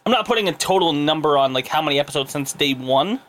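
Man, podcast co-host, high voice, bright tone, laughs a lot, casual swearing. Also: lively intonation, fast.

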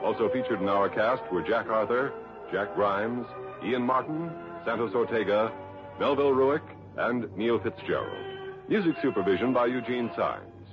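An American man works as a 1960s radio announcer, reading scripted credits with a very lively, mid-pitched delivery. The recording is old and dull, with music clearly underneath. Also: moderate pace.

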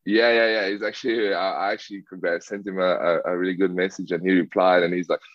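A man's voice: low voice, fairly even intonation, fast, no echo. A young man from South Africa, a rugby player answering interview questions casually, with many fillers and restarts. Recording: dull lo-fi dry sound.

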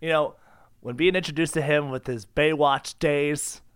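A man's voice: a little high, steady tone, moderate pace, smooth, no room sound, clean studio sound. A man co-hosting a podcast with casual, playful comedic banter while sick with a cough. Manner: jokey, playful. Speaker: podcast co-host.

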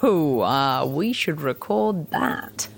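Wry, animated low-voiced woman. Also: slow pace, gravelly, slight background noise, exaggerated voice. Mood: wry, playful. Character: restless, wry woman bantering with her partner at home. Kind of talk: naturalistic audio drama dialogue.

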